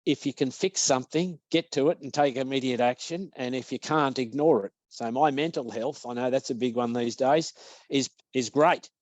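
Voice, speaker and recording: An Australian man in his sixties chats as an interview guest, spinning business anecdotes with a dry joke here and there. His voice sits at an ordinary middle pitch. It is recorded close and dry, with no room sound around it. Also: moderate pace, darkish tone, dry recording, highs cut off. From Australia (Victoria). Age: 60s. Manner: anecdotal, jokey storyteller. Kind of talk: casual interview storytelling.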